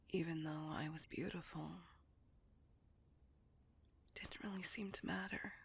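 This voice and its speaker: A woman voicing a melancholy, bitter female vampire, telling her life story in a breathy, intimate whisper as an ASMR roleplay monologue. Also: fairly low pitch, full character voice. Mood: wistful, wounded. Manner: slow, intimate, confessional storytelling. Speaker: voice actor in audio roleplay.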